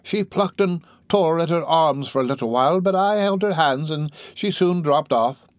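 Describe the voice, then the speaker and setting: A man's voice: a little high, moderate pace, dry recording, voice pushed up, natural voice. A man voicing a sly old workhouse crone in a storybook, gossiping morbidly in a drawl.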